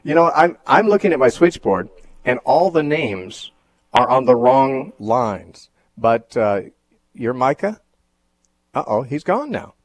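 Husky-voiced man hosting a call-in Bible show. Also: mid-range voice, sing-song, no echo. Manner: thoughtful, measured, with frequent fillers and restarts. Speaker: radio call-in show host.

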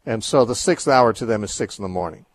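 A man's voice: fairly low pitch, expressive, fast, no echo. A man hosting a radio call-in show, answering Bible questions in a thoughtful, explanatory way with frequent restarts.

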